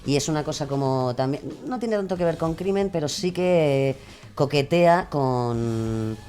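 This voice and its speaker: A woman with a Spain Spanish accent chatting casually as a podcast guest, halting and emphatic in a colloquial way. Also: low-pitched, mellow. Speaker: true crime podcaster and guest. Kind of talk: casual chat.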